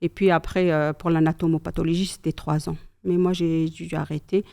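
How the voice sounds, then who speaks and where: Mellow-voiced mother telling family memories. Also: low voice, fairly quick, creaky. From Algeria. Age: middle-aged. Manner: calm, thoughtful storytelling with pious asides. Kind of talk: casual chat.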